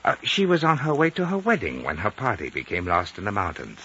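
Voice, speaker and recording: Old, muffled radio sound with faint hiss frames a courteous Chinese elder in his nineties. His low voice is suave and precise, with lively, theatrical inflection. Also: brisk. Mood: calm, wry. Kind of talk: 1950s radio drama acting.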